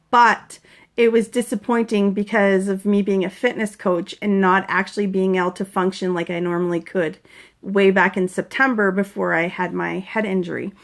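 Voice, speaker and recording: A woman in her forties from Canada, a fitness coach talking casually and earnestly to viewers about recovering from a concussion. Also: mid-range voice. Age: 40s. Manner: chatty, earnest, breaks off to greet viewers brightly. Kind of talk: casual live-stream vlog, greeting viewers.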